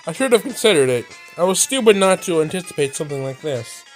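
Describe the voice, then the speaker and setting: A man with a fairly high voice streams a story game. He reads the narration aloud and reacts in exclamatory, slangy asides. Music plays clearly behind his voice. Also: sing-song, fast. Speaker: let's play streamer. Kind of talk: visual novel let's play with read-aloud narration and reactions. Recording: prominent background music.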